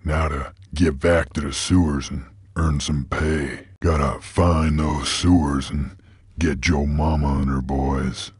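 Very deep, husky gunslinger drifter. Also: male voice, flat delivery, slow, darkish tone, no room sound, clean studio sound, exaggerated voice. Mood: gruff, terse. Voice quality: gravelly, husky. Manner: terse, folksy drawling cowboy talk. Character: gruff, laconic male creature bounty hunter, drifter gunslinger. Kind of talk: video game character voice lines.

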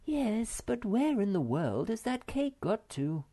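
Motherly female troll played by a man, high and lilting, fretful, sighing, children's storybook. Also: huge pitch swings, measured pace, creaky, darkish tone, exaggerated voice. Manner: anxious, sighing.

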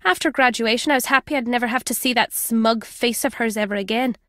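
A woman plays an older British former spy and journalist in a video game. Her voice sits fairly high, in a dry, close recording. She is wry and guarded, teasing yet fond as she speaks. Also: quick pace, fairly bright tone, dry recording, close to an everyday voice. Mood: wistful, grave. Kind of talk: video game character dialogue.

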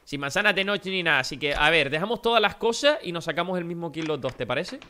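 A Spanish man from Spain talks quickly and brightly over his gameplay. His voice is nasal and a bit wrecked, and he chats straight at his viewers with plenty of energy. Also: on the high side, expressive. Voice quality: nasal, worn-out voice. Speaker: gaming youtuber. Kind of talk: casual gameplay commentary.

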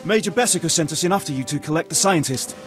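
An actor playing a brisk, obedient Imperial soldier in a video game, clipped and dutiful. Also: male voice, a little high, lively intonation, over music, lightly acted. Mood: urgent, dutiful. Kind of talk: video game character dialogue.

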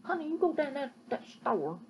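A woman's voice: high voice, big pitch swings, moderate pace, slight background noise, pitched above the performer's own voice. A woman voicing a homespun old countrywoman who bakes biscuits, talking in a folksy, fussing way. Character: homespun old countrywoman, a busy grandmotherly cook.

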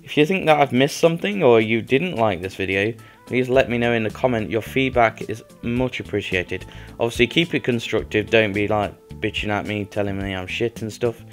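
A young man with a slightly croaky voice from a cold, chatting casually about a video game over gameplay as a channel host, rambling with lots of fillers. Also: fairly low pitch, moderate pace. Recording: faint background music.

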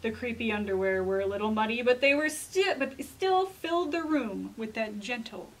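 A woman's voice: on the high side, lively intonation, normal speed, rough recording. A young woman working as a children's librarian, speaking theatrically and emphatically to young listeners. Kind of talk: dramatic storybook reading and chatty explaining to children. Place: children's library room, indoors.

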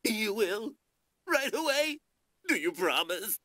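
A man's voice: high-pitched, big pitch swings, slow, sharp tone, no room sound, studio quality, full character voice. A man voicing a whiny, panicky, childish lord in a video game, blubbering and pleading. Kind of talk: scripted video game voice acting.

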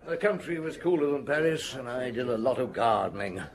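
A man plays a seasoned British detective chief in a radio drama. He is wry and patient, with quiet authority. His medium-pitched voice rises and falls freely as he muses. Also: lively intonation, fairly quick, close to an everyday voice. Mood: assured.

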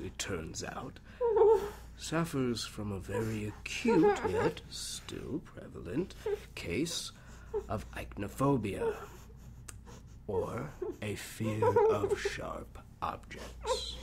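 Behind clear background noise, a man acts out a sadistic scientist villain dictating an audio log. He speaks very slowly, savoring each clinical phrase, and his pitch swings wildly. The delivery is halting and menacing, as in a dark radio play. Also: full character voice. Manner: slow, halting, savoring, hushed at times. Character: sadistic, calmly clinical male mad scientist villain obsessed with fear. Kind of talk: acted audio log monologue.

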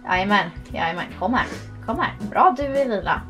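A woman's voice: mid-range voice, animated, sharp tone, soft background music. A young woman casually chatting while playing a horse game, playful and giggly, recorded at a desk webcam in a small room. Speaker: vlogger. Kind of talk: casual gameplay commentary.

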